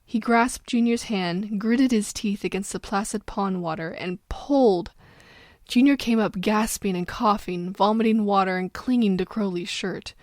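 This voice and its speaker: A woman's voice: medium pitch, measured pace, no echo, clean studio sound. A woman reading a story as dramatic narration, getting over a cold. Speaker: fan fiction narrator.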